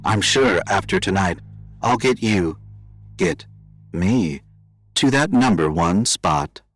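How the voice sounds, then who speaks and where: Man voicing a sinister, calm, low-pitched AI assistant. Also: creaky, full character voice. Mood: calm menace. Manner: measured, matter-of-fact, unhurried menace. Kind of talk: audio horror fiction acting.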